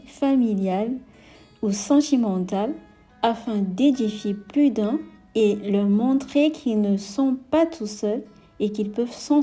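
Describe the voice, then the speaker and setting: A woman hosting a podcast, opening the show with a measured, welcoming scripted introduction. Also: medium pitch, mellow. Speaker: podcast host.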